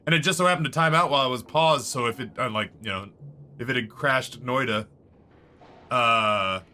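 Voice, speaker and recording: A hoarse man chatting casually with his viewers while streaming a game, thanking supporters and cursing at setbacks. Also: medium pitch, normal speed, sharp tone, soft background music. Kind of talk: live gameplay commentary.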